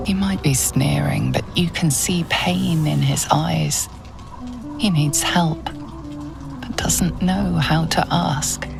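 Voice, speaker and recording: A woman playing a knowing narrator of a dark fantasy game, telling the tale in the second person with a gravelly hush. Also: deep voice, slow pace, over music, exaggerated voice. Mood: hushed, sympathetic.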